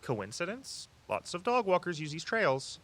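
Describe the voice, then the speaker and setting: A practical tour guide in an audio drama. The voice sits at a middling pitch, with an articulate, dry and skeptical delivery. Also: big pitch swings, moderate pace, a bit bright. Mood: matter-of-fact.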